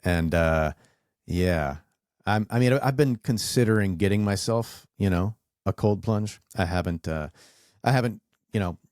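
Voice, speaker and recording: Deep-voiced man hosting a relaxed chat. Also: unhurried, no room sound, clean studio sound. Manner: relaxed, rambling storyteller with many fillers. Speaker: podcast host. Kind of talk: casual interview chat.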